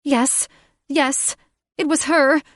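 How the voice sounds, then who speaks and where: A woman voicing a furious young woman turning into a bird-creature, with a shrill, frantic, accusing way of talking. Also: fairly even intonation, very slow, dry recording, studio quality.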